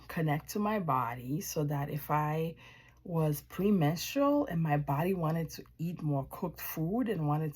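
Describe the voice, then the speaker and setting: A woman from Haiti gives a personal testimony as a wellness practitioner. Her voice sits low and moves slowly. She speaks reflectively, choosing her words with deliberate pauses. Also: a little mellow, breathy edge. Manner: reflective, deliberate, pausing mid-sentence.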